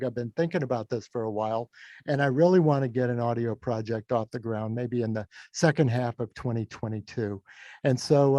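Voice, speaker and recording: Guy podcast host, soft dark tone, encouraging questions, dry kinda muffled mic. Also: mid-range voice, no echo. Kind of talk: conversational interviewing.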